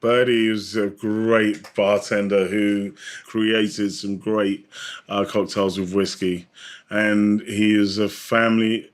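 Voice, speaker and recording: Male podcast guest, low register, reflective and explanatory, conversational interview delivery. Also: level tone, slow pace. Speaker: podcast guest, drinks industry advocate.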